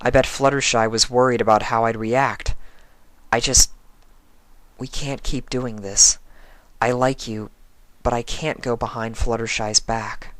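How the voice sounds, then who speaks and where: Guy doing sincere gentle pony girl, voice bumped up higher, chatty story character. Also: flat delivery, unhurried, pauses often, dry recording, natural voice. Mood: awkward, earnest. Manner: earnest, conversational. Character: earnest, gentle young female pony.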